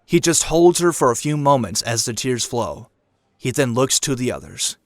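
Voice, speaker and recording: A man's voice: medium pitch, expressive, moderate pace, no echo, clean studio sound. A man reading scripted dramatic narration for an audio drama, describing action scenes as a storyteller. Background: clear dramatic music.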